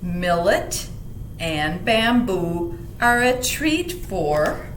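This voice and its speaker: A woman reading aloud and explaining to children in a gentle, teacherly manner, stressing key words. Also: sing-song, very slow. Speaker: library story reader. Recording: roomy echo, faint rumbling background noise.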